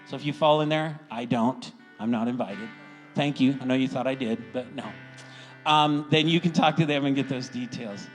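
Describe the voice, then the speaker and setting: Folksy American pastor over soft guitar. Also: male voice, medium pitch, normal speed. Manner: folksy, encouraging, checks in with "right?". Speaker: church pastor welcoming the congregation. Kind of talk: informal sermon and church announcements.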